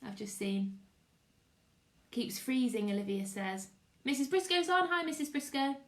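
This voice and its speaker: A woman, a teacher, giving an expressive live read-aloud of a children's story and chatting to her listeners. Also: high voice, moderate pace. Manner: expressive storytelling with character voices. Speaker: teacher reading to pupils online. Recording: echoey room.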